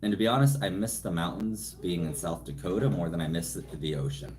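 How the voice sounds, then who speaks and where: Deep-voiced man chatting on a livestream. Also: a little fast. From California. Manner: rambling, self-deprecating, reads out viewer comments. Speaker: livestream host. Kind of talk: casual live Q&A chat. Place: at home. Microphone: phone set some distance away.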